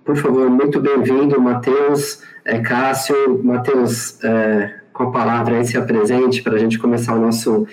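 A Brazilian man hosting a podcast, introducing his guests in a halting way with many fillers. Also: mid-range voice, little pitch movement, a little mellow, low-quality recording. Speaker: podcast host. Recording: faint music behind.

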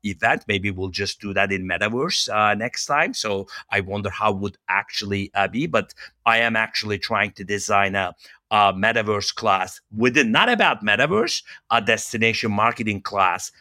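Podcast guest, male, upbeat, bright tone, pitched a bit low. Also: no echo, studio quality. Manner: upbeat, many "uh" pauses, nonnative phrasing. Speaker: director of a university hospitality incubator, guest. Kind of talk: podcast interview.

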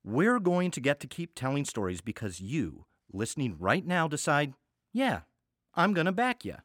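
A man's voice: on the high side, very animated, moderate pace, fairly bright tone, no room sound, clean studio sound. A man speaking as a podcast co-host, making show announcements in a conversational style. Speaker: co-host of an audio theater podcast.

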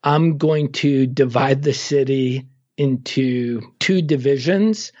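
Man, middle pitch, relaxed, informative, filler words, slightly muffled audio. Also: slow pace. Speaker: travel guest expert. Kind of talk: casual travel advice conversation.

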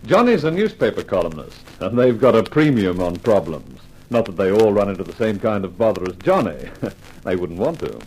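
A man's voice: lowish voice, sing-song, fairly quick, darkish tone, slight background noise, rough recording. A man hosting a 1950s radio mystery programme, introducing and interviewing in a polished, formal showman's manner. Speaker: radio announcer and host.

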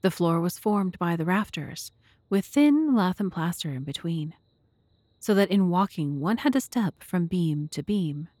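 A woman playing the measured storyteller of a detective mystery in an audio drama, narrating. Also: fairly low pitch, expressive, darkish tone, dry recording. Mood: measured, descriptive. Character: measured storyteller recounting a detective's investigation as companion.

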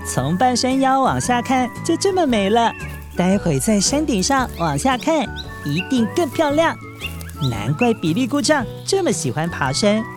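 A man voicing an excitable, curious young boy in a children's fairy tale, exclaiming with wonder. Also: extremely high pitch, moderate pace, music in the background, voice pushed up. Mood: awed, happy.

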